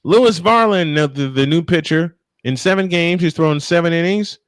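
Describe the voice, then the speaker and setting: A man working as host and play-by-play commentator, calling baseball and bantering casually between pitches. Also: moderate pace, smooth, no echo.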